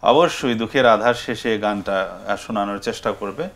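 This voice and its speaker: A man with a Bangladeshi accent hosting a festive phone-in show, speaking politely with hesitant pauses. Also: on the low side, a crowd in the background. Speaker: live TV show host.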